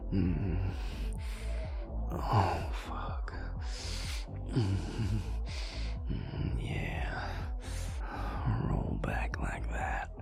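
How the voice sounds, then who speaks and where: A man playing an amorous, teasing vampire lover in an intimate romantic audio roleplay, murmuring slowly in a breathy, gravelly whisper with soft hums. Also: deep voice, heavily acted. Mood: aroused, teasing. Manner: slow, murmuring, lingering over words with soft hums.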